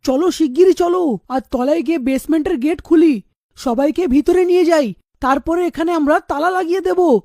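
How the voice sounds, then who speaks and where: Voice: high voice, normal speed, warm tone, no room sound, studio quality. An actor playing an anxious young male college student in a cartoon, speaking urgently and emphatically. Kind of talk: animated story dialogue.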